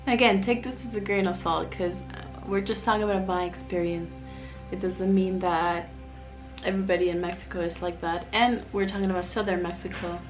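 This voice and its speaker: A woman's voice: lowish voice, steady tone, a little fast, faint music behind, rough recording. A young adult woman from Miami, chatting candidly and reflectively in a casual personal vlog, with fillers and restarts. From Miami, USA. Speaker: vlogger, single mom living in Mexico.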